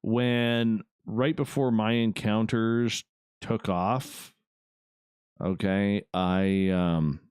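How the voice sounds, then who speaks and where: A man's voice: on the low side, steady tone, drawn-out words, a little mellow, smooth, dry recording, clean studio sound. A man hosting a late-night radio talk show, chatting casually and laid-back, often tacking "man" onto his sentences.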